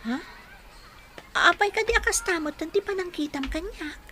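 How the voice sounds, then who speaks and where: Casting: concerned young female friend, extremely high bright voice, plaintive, radio drama style. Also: animated, moderate pace, full character voice. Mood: anxious. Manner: plaintive, pleading. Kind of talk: Ilocano radio drama acting.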